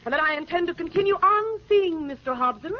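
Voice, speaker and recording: A slangy American showgirl trying to sound refined. She is brassy, affected and lively in pitch. It is a vintage radio drama, dull with faint noise. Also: fairly quick. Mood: haughty, confiding. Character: brassy showgirl, putting on genteel airs. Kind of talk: 1940s radio comedy-drama acting. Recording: muffled, slightly noisy 1949 radio.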